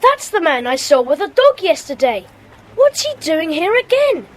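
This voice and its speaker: A woman's voice: very high voice, very animated, normal speed. A woman playing a sensible, sceptical schoolboy in a detective gang, reasoning matter-of-factly in a children's audio drama. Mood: excited.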